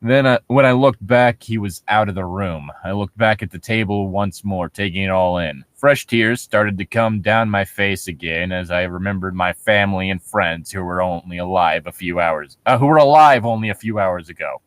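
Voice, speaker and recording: A man's voice: sits low, moderate pace, few pauses, fairly bright tone, dry recording. A man doing a comedic read-aloud of horror fiction, theatrical and sardonic. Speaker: co-host reading horror stories for laughs.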